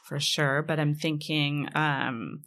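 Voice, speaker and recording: Low gravelly woman, native Hebrew speaker. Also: fairly even intonation, takes it slowly, fairly bright tone. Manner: thoughtful, hesitant with many fillers and frequent laughs. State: jet lagged. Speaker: author guest. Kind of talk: casual interview conversation.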